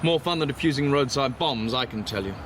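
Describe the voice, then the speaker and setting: A man's voice: medium pitch, animated, brisk, background noise, natural voice. A man acting as a courteous, commanding army captain in an audio drama.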